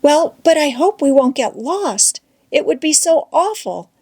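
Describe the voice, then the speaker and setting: A woman's voice: high voice, very animated, a little slow, darkish tone, pitched above the performer's own voice, heavily acted. A woman voicing a frightened young girl in a storybook, anxious and pleading. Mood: apprehensive. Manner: pleading, apprehensive. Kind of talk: children's novel read aloud.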